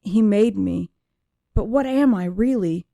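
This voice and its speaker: A woman voicing an anguished young female android who is searching for herself, in emotive, deliberate first-person audiobook narration. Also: mid-range voice, vocal fry, mellow, dry recording, clean studio sound. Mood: sad, reflective.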